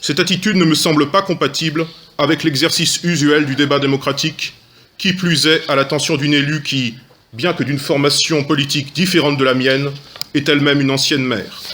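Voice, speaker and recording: A man's voice: on the high side, steady tone, a little fast, bright, forceful tone. A man, an opposition councillor, delivering a prepared political speech in formal, literary phrasing. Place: council meeting room. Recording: faint background noise, clicks.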